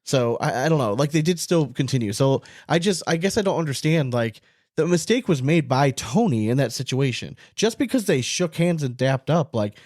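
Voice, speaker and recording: A man's voice: medium pitch, expressive, normal speed, a little mellow, dry recording, studio quality. A man co-hosting a sports podcast, talking casually and animatedly, rambling and swearing for emphasis. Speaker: podcast co-host.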